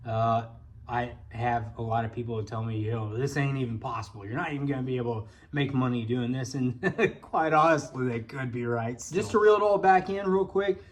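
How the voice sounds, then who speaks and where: A man's voice: mid-range voice, fairly quick. An American man with a folksy, colloquial way of talking, telling a casual personal story as a vlog host. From Indiana, United States. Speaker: vlog host, bowfishing guide.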